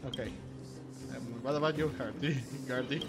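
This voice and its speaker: A man doing casual gameplay commentary and reading dialogue aloud, with a hesitant, halting delivery. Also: medium pitch. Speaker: gamer playing through a story game and reading out dialogue. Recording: music playing behind the voice. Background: game music.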